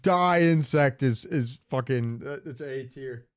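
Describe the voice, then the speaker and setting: A man's voice: medium pitch, unhurried, dry recording. A man hosting a casual gaming podcast, talking in an opinionated, rambling way with plenty of swearing. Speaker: podcast host.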